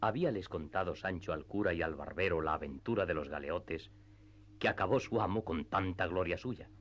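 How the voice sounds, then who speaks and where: A man narrating a classic literary radio play in a measured, storytelling manner. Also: low voice, muffled recording. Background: music.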